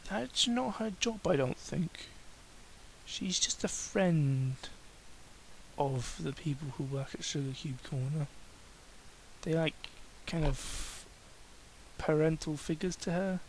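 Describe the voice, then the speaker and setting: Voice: mid-range voice, slow, pauses often, slight background noise, rough recording. Someone giving a comedic dramatic reading of amateur fiction, mocking and exasperated, stumbling over the text and breaking off for sarcastic asides. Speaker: host reading fan fiction aloud.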